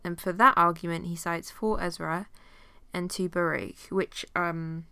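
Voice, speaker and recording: A woman with a cold teaches an informal Bible study, her lowish voice noticeably nasal. She chats with plenty of fillers and reads long passages aloud. The recording is dry and close, with no room sound. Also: steady tone, measured pace, gravelly, bright, forceful tone, clear, resonant tone, no room sound. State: has a cold.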